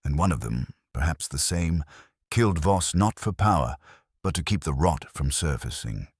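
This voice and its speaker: A man voicing a grave, imperious older detective in an audiobook mystery, murmuring in measured, formal sentences. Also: extremely low pitch, expressive, slightly rough, no room sound, exaggerated voice. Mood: grave, commanding.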